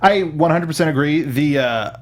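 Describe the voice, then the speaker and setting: A man's voice: on the high side, normal speed. A man co-hosting a review show in casual conversation, informal and opinionated. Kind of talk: casual chat reviewing video game films.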